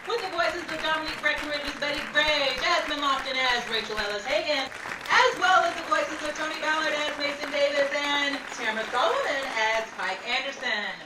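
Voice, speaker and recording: A woman's voice: sits high, a little fast, lightly acted. A woman playing a grand, chatty announcer and narrator of a 1930s-style radio show. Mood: showy, welcoming. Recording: roomy, distant, noisy recording with crowd.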